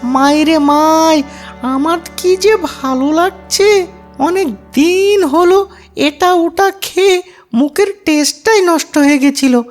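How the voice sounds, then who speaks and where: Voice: very high voice, soft background music, voice pushed up. A performer voicing a wicked, greedy cartoon fox who gloats and drags out words. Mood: smug, satisfied. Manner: gloating, drawn-out words, cackling laughs. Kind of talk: children's cartoon character voice.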